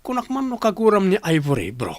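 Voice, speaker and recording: Man acting lively, confident office suitor. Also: high voice, a little fast, no echo. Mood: casual, curious. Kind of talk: Ilocano radio drama acting.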